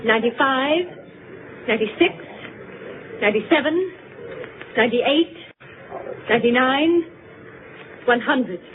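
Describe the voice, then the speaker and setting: An actress playing a composed, gracious society hostess in an old radio drama, speaking with deliberate precision. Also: female voice, high-pitched, lively intonation. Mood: measured, suspenseful. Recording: tinny, very noisy old radio recording.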